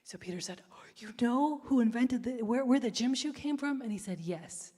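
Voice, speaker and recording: A woman author giving a playful book talk, quizzing the audience and telling stories in a lively way. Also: fairly high pitch, normal speed, slightly rough. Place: public library event space. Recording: reverberant hall.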